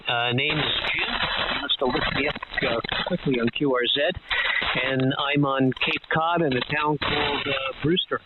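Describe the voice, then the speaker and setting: Guy talking casually over ham radio, slow hesitant explaining, phone-like sound, loud hiss and clicks. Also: mid-range voice, fairly even intonation. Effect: heard over amateur radio link. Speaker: amateur radio operator. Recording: narrow tinny radio link, loud static.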